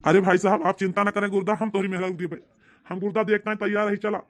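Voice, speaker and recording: A man's voice: high voice, flat delivery, fast, fairly bright tone. A man playing a helpful, matter-of-fact villager in an amateur cartoon, speaking earnestly and directly with an Awadhi accent. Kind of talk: amateur comedy cartoon dialogue in rural dialect.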